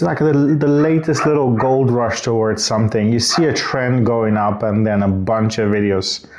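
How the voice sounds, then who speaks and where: A man's voice: mid-range voice, darkish tone, a little hiss or hum. A man from Bulgaria, probably in his thirties, talking casually into the camera in a reflective vlog. He wanders through his thoughts and often corrects himself mid-sentence. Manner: reflective, rambling with restarts and self-corrections. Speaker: vlogger.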